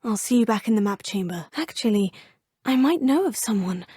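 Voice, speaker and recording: A woman's voice: medium pitch, expressive, moderate pace, dark tone, breathy edge, no echo, studio quality. A polite young witch student. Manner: polite and formal, addressing teachers as sir and professor. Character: polite young female Hogwarts fifth-year student witch. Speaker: player character. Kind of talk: video game voice lines. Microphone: close studio mic.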